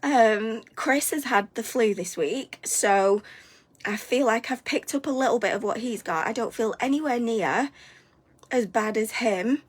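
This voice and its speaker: A woman, hosting a live stream, a little hoarse and congested from a cold, chatty and upbeat, sometimes stumbling over her words. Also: on the high side, a little slow. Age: 40s. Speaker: host of a weekly live stream. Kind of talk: live stream chat to viewers.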